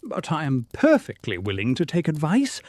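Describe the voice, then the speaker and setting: Animated, exasperated man acting a police prefect. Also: a little high, moderate pace, no room sound. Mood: desperate. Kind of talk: theatrical audio drama.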